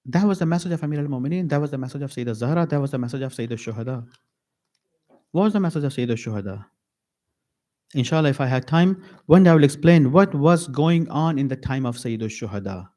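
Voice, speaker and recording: A man giving a mourning sermon at an Islamic center, slow and emphatic, repeating key phrases with pauses. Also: mid-range voice, animated, mellow.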